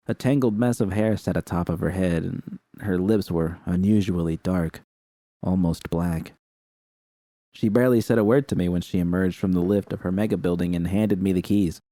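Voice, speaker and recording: A man reads a first-person story with steady, even pacing. His voice is deep and mellow, recorded close and dry with no room sound. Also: normal speed, no room sound. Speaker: audiobook reader. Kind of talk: first-person fanfiction narration.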